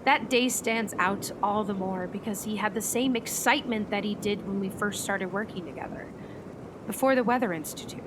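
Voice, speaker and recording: An actress playing an earnest, troubled meteorologist in a naturalistic radio play, speaking in a hesitant, reflective way. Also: female voice, medium pitch, normal speed, noisy background, natural voice. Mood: wistful, reflective. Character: earnest, troubled woman meteorologist and storm chaser.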